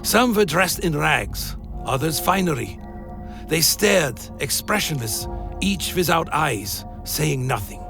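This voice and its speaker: A man playing a brooding vampire who tells his tale in a grave, deliberate, dramatic way, as scripted gothic radio play narration. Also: fairly high pitch, sing-song, creaky, exaggerated voice. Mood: grave, awed. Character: Alaric the Damned, brooding male vampire telling his tale. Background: music.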